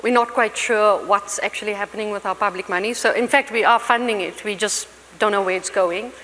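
A woman's voice: mid-range voice, sharp tone. Woman, panelist, earnest list-making delivery, long reverb with faint background noise. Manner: earnest, measured, builds points in lists. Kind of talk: panel discussion. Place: large conference hall stage.

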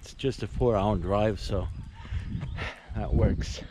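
A man's voice: fairly low pitch, steady tone, slow, background noise. A man in his thirties, filming himself at a campsite and chatting plainly to the camera about the weather and his plans. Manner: plain, matter-of-fact commentary to camera. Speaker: vlogger. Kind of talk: casual camping vlog. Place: campsite, in a tent and out in a snowy field. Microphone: handheld action camera at arm's length.

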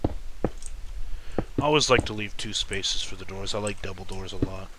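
A man with a deep voice giving casual, rambling commentary while playing a game, speaking softly and correcting himself as he goes. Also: measured pace, background noise. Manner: soft-spoken, rambling, self-correcting. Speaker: let's-play gamer.

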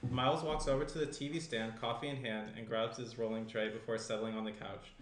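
Young male narrator, scripted screenplay directions, mid-pitched voice, roomy echo, faint noise. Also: little pitch movement, brisk. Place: indoor room, seated reading from tablet. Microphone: shared desk microphone, some distance.

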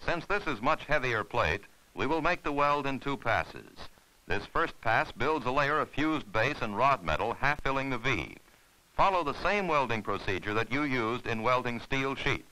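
A man's voice: mid-range voice, animated, moderate pace, sharp tone, low-quality recording. A man narrating an instructional film in a formal, scripted, step-by-step way.

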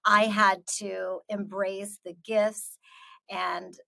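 Casting: middle-aged American woman, guest speaker on a video call, earnest, measured and reflective, mid-pitched voice. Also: flat delivery, creaky, sharp tone, low-quality recording. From United States. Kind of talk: earnest talk answering audience questions.

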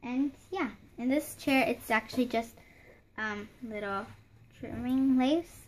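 A woman's voice: fairly high pitch, slight background noise. A young girl casually showing off a doll house her mom built, rambling with fillers and restarts, filmed close on a phone at home. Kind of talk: casual show-and-tell narration. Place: home room beside a handmade doll house. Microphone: phone camera held close, hands in frame.

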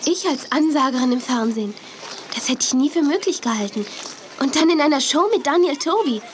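A woman's voice: high voice, expressive, brisk, noisy background. An actress playing a modest, sensible young woman, a friendly big sister, in a children's audio drama. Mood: pleased, surprised. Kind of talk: 1980s German children's audio drama acting.

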